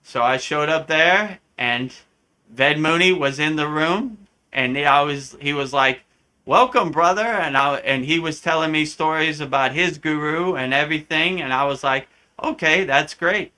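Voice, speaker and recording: A man from the United States, a spiritual teacher telling a story in a live Q&A, animated and often acting out the voices he quotes. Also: on the high side, moderate pace. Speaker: spiritual teacher answering questions.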